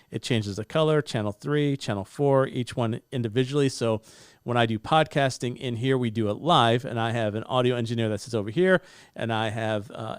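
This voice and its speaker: A man's voice: mid-range voice, no echo. A man in his forties giving an upbeat, hands-on gear review from his home studio, close on a broadcast microphone. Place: home studio with bookshelves and blue lighting. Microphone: studio broadcast mic on boom arm, close.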